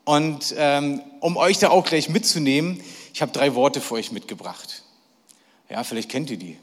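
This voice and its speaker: A middle-aged man preaches to a live church crowd and keeps cracking jokes and throwing questions at them. His voice sits a little high for a man. It rings with long reverb in a big hall. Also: normal speed. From Berlin, Germany. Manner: chatty, jokey, asks the audience questions. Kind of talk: lively sermon to a live audience.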